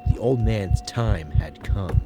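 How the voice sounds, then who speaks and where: An actor playing an obsessive madman who confesses to murder in intense, theatrical gothic narration, swinging between hushed and loud delivery. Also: on the low side, slow, over loud music. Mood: ominous. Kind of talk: dramatic first-person gothic narration, audio drama.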